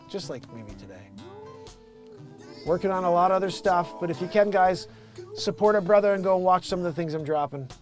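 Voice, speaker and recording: A man hosting a casual live stream, rambling to his viewers in an animated, boastful and emphatic way. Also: fairly high pitch. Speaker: live-stream entertainer and host.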